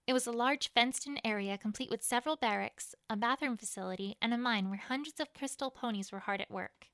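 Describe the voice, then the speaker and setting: A woman's voice: fairly high pitch, fast talker, a bit bright, no room sound, studio quality. A woman reading a first-person fantasy story as a dramatic narration. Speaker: fan fiction reader.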